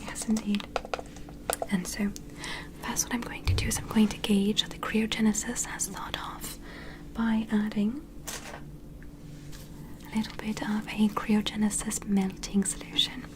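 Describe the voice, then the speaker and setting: Woman as a softly whispering alien medical examiner. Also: mid-range voice, level tone, lightly acted. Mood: soothing, attentive. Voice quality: breathy unvoiced whisper. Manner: slow, soothing, reassuring, procedural. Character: gentle, attentive female alien medical examiner named Luna. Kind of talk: whispered ASMR medical roleplay.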